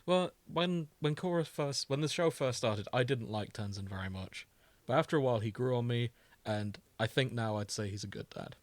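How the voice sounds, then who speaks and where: A man's voice: mid-range voice, normal speed, no echo. A man co-hosting a podcast in casual, unscripted chat, with an easygoing conversational way of talking. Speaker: podcast co-host.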